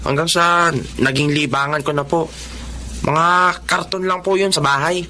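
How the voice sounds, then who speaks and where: A man's voice: fairly high pitch, fairly even intonation, moderate pace, sharp tone, slight background noise. An actor playing a humble, self-effacing young working-class man in a Filipino drama, speaking hesitantly and politely.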